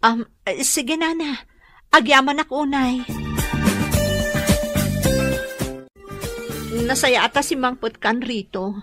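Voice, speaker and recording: A woman's voice: high-pitched, moderate pace, over loud music, natural voice. A woman playing a worried, apologetic mother in a radio drama, pleading with a Filipino accent. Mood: anxious, apologetic. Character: worried, pleading mother behind on boarding house rent.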